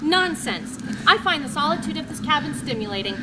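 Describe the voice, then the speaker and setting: Brief: woman reading a solemn hermit writer, high voice, noisy recording. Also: sing-song, brisk. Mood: dreamy. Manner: earnest, solemn and a little defensive. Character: earnest solitary man, philosophical writer living in woods. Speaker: reader in a dramatic reading.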